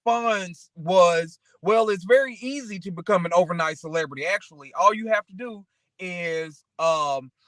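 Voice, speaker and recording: A man with a notably high-pitched voice talks in a casual, motivational way. He rambles through anecdotes and keeps checking that the listener follows. The sound is dry and close, with very little room. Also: moderate pace, a bit bright, no room sound. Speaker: talk show co-host.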